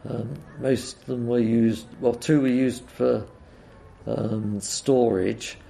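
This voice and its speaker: Slow, gravelly older Englishman. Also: medium pitch, steady tone, dark tone, a little hiss or hum. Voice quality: croaky. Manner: hesitant, full of uhs and ums. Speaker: interviewee. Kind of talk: oral history reminiscence.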